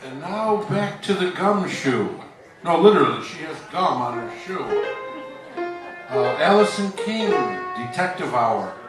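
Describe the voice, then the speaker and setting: An actor playing an old-time radio announcer presenting a spoof detective serial and its sponsor. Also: male voice, a little high, big pitch swings, a little slow. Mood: showy. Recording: echoey, distant, with loud guitar music.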